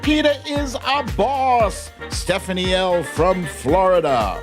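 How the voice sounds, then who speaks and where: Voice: mid-range voice, expressive, slow. Casting: American livestream host, informal and playful, taking their time through casual greetings, faint music bed. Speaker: host of a live online show. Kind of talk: casual livestream chat with shout-outs to viewers.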